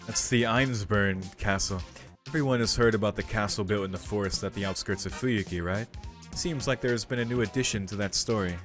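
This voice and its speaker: Male game streamer, lowish voice, casual reading and commentary, soft music behind. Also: fairly quick.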